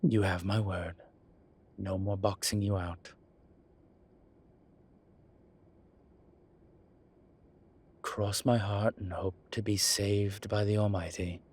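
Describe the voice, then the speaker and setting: Guy voicing a proud demon professor in an audio rp, raspy husky voice, really unhurried, wry and kinda guarded. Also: little pitch movement, darkish tone. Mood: wry, reassuring. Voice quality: low, husky voice. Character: proud sardonic male incubus demon professor, guarded yet playful. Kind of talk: roleplay audio drama, speaking to an unseen listener.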